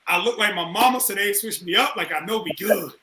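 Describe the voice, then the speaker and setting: Animated high-voiced man in echoey room. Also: brisk, background noise. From Atlanta. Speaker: co-host. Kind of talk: casual chat.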